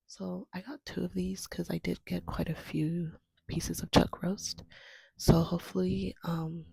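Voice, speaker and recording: Hoarse, gravelly woman vlogging at home. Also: on the low side, no room sound. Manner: soft, half-whispered delivery. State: hoarse from a cold, sniffling. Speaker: homestead vlogger. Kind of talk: casual vlog chat. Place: at home, indoors.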